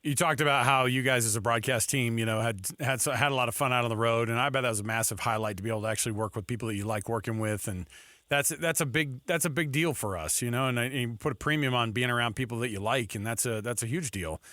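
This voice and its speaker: A man's voice: mid-range voice, fast, bright, forceful tone, dry recording, studio quality. A man hosting sports radio, interviewing in an informal, self-deprecating, chatty style. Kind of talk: casual chat interviewing.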